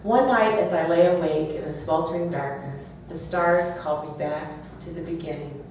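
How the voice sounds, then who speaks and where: A woman's voice: lowish voice, moderate pace, darkish tone. A woman author speaking and reading aloud at a live event. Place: bookstore, audience event. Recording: roomy, distant, noisy live event recording.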